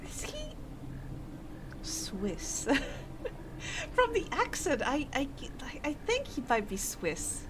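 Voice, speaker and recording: A woman's voice: high-pitched, wildly swooping pitch, drawn-out words, in a whisper. A woman streamer chatting playfully and thinking aloud while she plays, sometimes reading in-game text aloud. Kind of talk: gameplay commentary.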